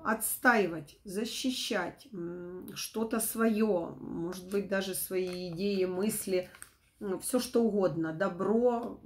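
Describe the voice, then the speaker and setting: A middle-aged woman with a not fully recovered voice, giving an informal tarot forecast to camera in a halting, rephrasing way. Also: medium pitch. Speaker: tarot reader, host. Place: small room at home, indoors.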